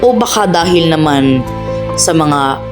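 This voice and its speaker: Man narrating a radio play slowly. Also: on the high side, lively intonation. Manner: slow, deliberate storytelling. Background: music and birdsong.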